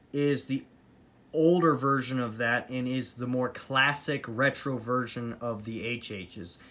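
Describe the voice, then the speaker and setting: A man talks through a casual hobbyist review at a mid pitch, with some noise on the recording. He rambles a little and states his opinions plainly and matter-of-factly. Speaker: hobbyist collector presenting weather radios.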